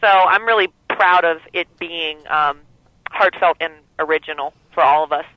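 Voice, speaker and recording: A lead singer with a lower voice talks over a dull, lo-fi phone line. She rambles easily, filling gaps with ums and you-knows. The sound is muffled, and the line is dry with no room echo. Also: normal speed. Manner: relaxed, rambling, many fillers. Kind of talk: phone interview.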